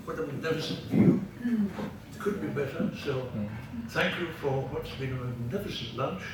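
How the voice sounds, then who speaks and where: An older man with a Scottish accent, a veteran actor giving a halting, rambling after-dinner vote of thanks with theatrical emphasis. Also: a little high, lively intonation. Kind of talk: after-dinner speech with anecdotes. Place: large room at a club luncheon. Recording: very echoey room from far off, noisy.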